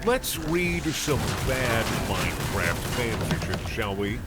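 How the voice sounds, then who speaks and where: A man's voice: mid-range voice, very animated, slow pace. A man reading bad fan fiction aloud as a comic drama, mock-earnest and exaggerated, with sudden outbursts. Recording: loud music behind the voice.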